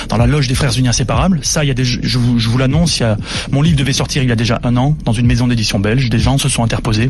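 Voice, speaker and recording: A man who talks at a rapid clip, pressing his points hard and tripping over repeated words as he goes. He is a journalist being interviewed on a radio show. His voice sits at an ordinary middle pitch, with a faint hiss of noise behind him. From Sicilian family. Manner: fast, insistent, many repeated words and restarts. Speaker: journalist and author, guest.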